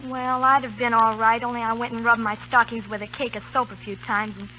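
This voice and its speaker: A woman's voice: fairly high pitch, little pitch movement, a little fast, close to an everyday voice. A woman playing an eager, earnest schoolgirl in an old radio commercial. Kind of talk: 1930s-40s radio commercial dramatization. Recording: muffled old radio recording with hiss.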